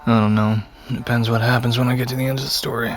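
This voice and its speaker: An actor playing a self-loathing, heroin-addicted young ex-con who drinks, in naturalistic audio fiction, drowsy, slurred and bitterly sardonic. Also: male voice, mid-range voice, little pitch movement, very fast, soft background music, natural voice. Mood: weary, guarded.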